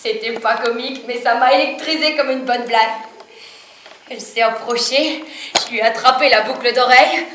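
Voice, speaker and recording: An actress playing a wistful, fiery, worn-down laundress in a dramatic theatrical monologue. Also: female voice, on the high side, normal speed, close to an everyday voice. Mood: defiant storytelling. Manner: dramatic storytelling, swinging from hushed to shouted. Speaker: actress performing a stage monologue. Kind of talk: theatrical French monologue with sung passages. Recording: echoey room from far off, faint noise.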